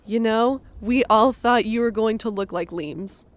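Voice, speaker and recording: Female gremlin, voiced by a man: raised pitch, sunny, talkative, chummy audiobook creature. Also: unhurried, natural voice. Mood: delighted. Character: cheerful, friendly pregnant female gremlin.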